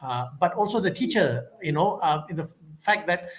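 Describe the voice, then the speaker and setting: A man's voice: high-pitched, animated, normal speed. A man with a Malaysian accent answering conference questions in a thoughtful way, full of fillers and restarts. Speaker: conference speaker. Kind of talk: academic Q&A answer.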